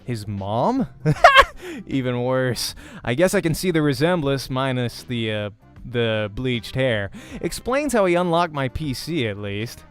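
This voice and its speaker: A man's voice: medium pitch, expressive, unhurried. Man narrating a visual novel, music behind. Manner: acts out dialogue while narrating. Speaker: let's play host and voice actor. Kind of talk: expressive visual novel narration read aloud. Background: game music.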